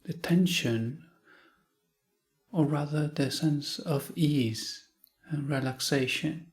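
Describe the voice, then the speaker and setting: A man leading a guided meditation in short, calm phrases with long pauses. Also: a little high. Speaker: meditation teacher.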